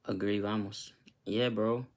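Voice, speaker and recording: A man's voice: fairly low pitch, level tone, measured pace, a little hiss or hum. A man from Costa Rica, a YouTuber, chatting loosely with his viewers and often asking "you know what I'm saying". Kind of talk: casual livestream chat with viewers.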